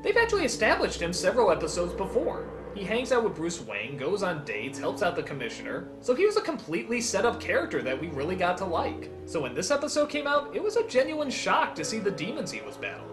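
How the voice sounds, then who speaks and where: A man's voice: sits high, quick pace, few pauses, over music. A man narrating a scripted comedic review in an emphatic, exclamatory way. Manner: animated, emphatic, exclamatory, profane. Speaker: video reviewer and countdown host.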